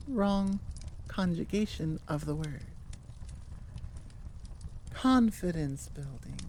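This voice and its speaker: A woman's voice: medium pitch, warm tone, a little hiss or hum. A woman giving a casual, rambling outro as host of her audio channel, self-deprecating and wandering off on tangents at the microphone. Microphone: sitting at a computer microphone, recording into audio software.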